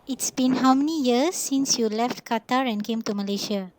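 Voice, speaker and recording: A woman's voice: fairly high pitch, normal speed, a little mellow, a little hiss or hum. A young woman hosting a student interview, polite and hesitant with fillers.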